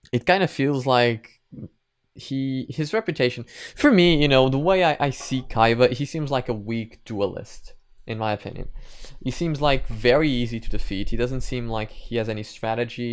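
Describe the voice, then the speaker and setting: A man talks through his opinions in a casual commentary style. His voice is smooth and clear at an average pitch. He goes haltingly, repeating phrases and hedging his judgments as he works through them. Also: no echo, studio quality. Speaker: reactor and reviewer.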